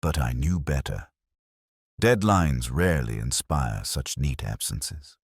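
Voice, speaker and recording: A man with an extremely low voice reads a first-person detective mystery in a measured, reflective way. His intonation rises and falls with lively expression. The sound is close and dry, with no room around it. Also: breathy edge, no echo. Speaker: narrator.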